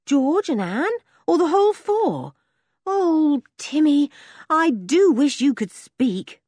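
A woman reading an audiobook voices a frightened, gentle young girl who talks in an anxious, pleading way. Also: high-pitched, big pitch swings, takes it slowly, dry recording, voice pushed up, exaggerated voice.

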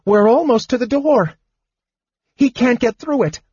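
A man voicing a small, cheeky, eager male kender in a fantasy audiobook, with a breathless, excitable delivery. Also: fairly quick, slightly rough, no echo, lo-fi sound. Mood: urgent. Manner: high-pitched, breathless, eager. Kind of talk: dramatized audiobook fantasy narration.

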